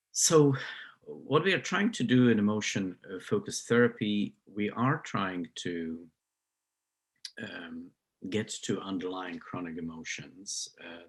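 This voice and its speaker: A man's voice: deep voice, breathy edge. A middle-aged man from Slovakia with a Slovak accent, giving a hesitant recorded lecture as a psychology professor, with many fillers and restarts.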